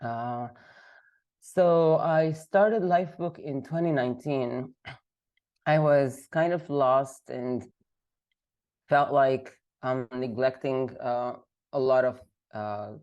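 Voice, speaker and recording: A woman's voice: rumbling low, darkish tone, muffled recording. A woman from Lebanon, a guest on a video call interview, talking casually and hesitantly with many fillers while sick. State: sick, voice affected.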